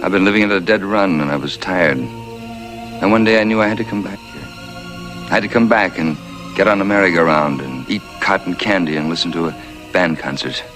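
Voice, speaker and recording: Weary, pleading son, played by a man, old television drama: deep, earnest, halting, with clear underscore. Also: close to an everyday voice. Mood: weary, wistful. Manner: earnest, wistful, pausing. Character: world-weary grown son, wistful and pleading. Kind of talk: mid-century TV drama acting. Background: dramatic music.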